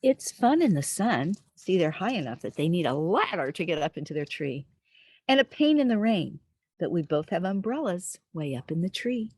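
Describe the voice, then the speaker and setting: Animated woman reading to children. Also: fairly low pitch, moderate pace, slightly rough, dry recording. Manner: expressive, asks the listener gentle questions. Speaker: host reading a picture book aloud. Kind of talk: animated read-aloud storytelling for young children. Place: outdoors in a backyard with trees.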